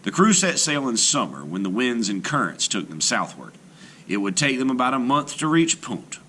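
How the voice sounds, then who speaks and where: Male teacher-narrator, animated crisp bright read, measured storytelling for children. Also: mid-range voice, a little hiss or hum. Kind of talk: scripted read-aloud narration.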